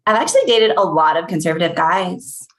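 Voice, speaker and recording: A woman's voice: fairly low pitch, animated, brisk, fairly bright tone. A woman playing a flirty, inquisitive researcher in a comedy table read, playful and probing in her questions. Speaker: actor in a screenplay table read. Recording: echoey room sound.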